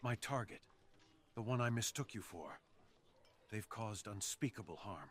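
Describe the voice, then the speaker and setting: A man's voice: lowish voice, lively intonation, measured pace, fairly bright tone. A man playing a fox assassin in an ASMR roleplay, speaking theatrically and intimately to the listener, savoring his phrases. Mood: courteous, gentle. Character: menacing, sadistic male fox assassin with a remorseful streak. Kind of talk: ASMR roleplay, close dramatic monologue to the listener.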